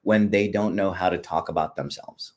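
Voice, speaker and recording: A man being interviewed, relaxed and conversational, whose first language is not English. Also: low voice, moderate pace. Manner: relaxed, conversational, many fillers and tag questions. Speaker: guest. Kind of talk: casual interview chat.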